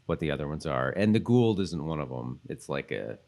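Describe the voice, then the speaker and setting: A man with a low-pitched voice talks casually as a podcast co-host. He works through his thoughts out loud in a loose, tentative, conversational way. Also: very animated, brisk, creaky. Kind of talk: casual rewatch podcast chat.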